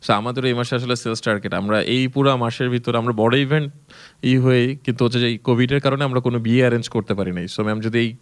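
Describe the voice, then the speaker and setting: A man's voice: medium pitch, fairly even intonation, racing, lightly acted. A Bangladeshi man playing a persistent, polite wedding planner salesman in a prank call, hesitant and pleading. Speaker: radio prank caller. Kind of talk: improvised prank phone call.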